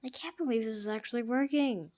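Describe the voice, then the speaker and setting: A woman giving jokey gameplay commentary, trash-talking the game characters and swinging from hushed mutters to sudden loud outbursts. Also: fairly high pitch, quick pace. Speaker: gamer.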